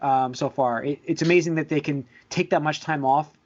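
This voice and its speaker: Man, podcast host, slightly high voice. Halting, hedging, conversational delivery. Dull, lo-fi sound. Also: fairly even intonation, darkish tone. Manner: halting, hedging, repeats words. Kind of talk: casual chat about movies and shows. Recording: dull lo-fi voice recording.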